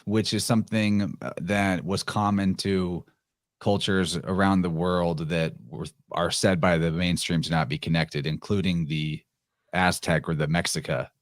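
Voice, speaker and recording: A man with a very low voice, calmly explaining ideas in a podcast conversation. The recording sounds close and dry, with no room echo. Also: unhurried. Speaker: podcast guest. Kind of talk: casual conversation.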